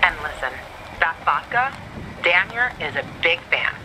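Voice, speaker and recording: A woman's voice: lowish voice, expressive, unhurried, background noise. An actress playing a sardonic, casual young woman survivor in a video game cutscene. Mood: teasing, persuasive. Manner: wry, teasing, casual.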